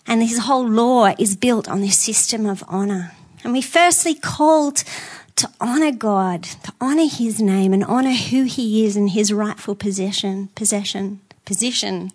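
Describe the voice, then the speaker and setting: A woman's voice: medium pitch, normal speed, darkish tone. A woman preaching a conversational sermon in a church, earnest, with self-deprecating asides. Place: church auditorium with screens.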